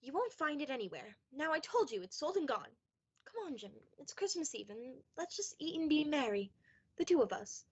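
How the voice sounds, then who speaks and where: A woman's voice: high voice, sing-song, moderate pace. A young woman playing a devoted, anxious young wife in a radio play, tender and pleading. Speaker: student actor in a high school radio play. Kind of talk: stage-like radio play acting. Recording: dull lo-fi recording.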